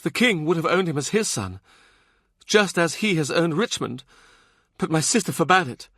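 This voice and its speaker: Man voicing a fretful young noblewoman, lifted pitch. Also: animated, normal speed, fairly bright tone, no room sound, studio quality. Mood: bitter, confiding. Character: young widowed noblewoman, mother of two, fretful, confiding, wistful.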